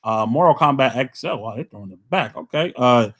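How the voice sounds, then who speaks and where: A man hosting a casual podcast, talking loosely and ending nearly every phrase with "man" as he shouts out players. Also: mid-range voice, lively intonation, fairly quick. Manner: laid-back, says "man" constantly, shouting out players. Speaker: podcast host.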